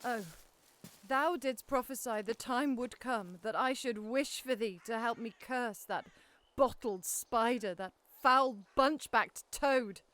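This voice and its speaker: A woman's voice: on the high side, takes it slowly, a bit bright, no echo. A woman playing a widowed queen in an audio drama, lamenting her lost children in a pleading voice. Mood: grieving. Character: grieving widowed queen mourning her sons. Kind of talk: Shakespearean audio drama acting.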